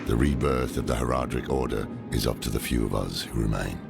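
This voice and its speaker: An actor playing a grave aging scholarly mage, solemnly narrating his written account in a gravelly voice for a game. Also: rumbling low, brisk, full character voice. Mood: solemn, weary. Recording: loud music under the voice.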